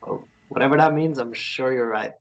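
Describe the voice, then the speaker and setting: A man's voice: medium pitch, gravelly, dull audio, natural voice. Man acting a shy, hesitant ghost miner. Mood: apologetic, protective. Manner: hesitant, halting, earnest. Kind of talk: table read of a fantasy romance script.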